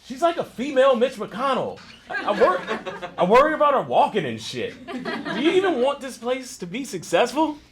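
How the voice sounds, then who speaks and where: A man's voice: very high voice, wildly swooping pitch, moderate pace, crowd noise, exaggerated voice. A man playing a blunt, exasperated bar employee with a casual, incredulous way of talking, in a live comedy table read.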